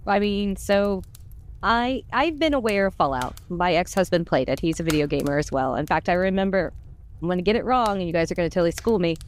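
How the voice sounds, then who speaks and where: Woman, 40s, American, lowish pitch, chatty casual storyteller, somewhat noisy recording. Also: fairly quick. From United States. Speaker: podcast voice actor.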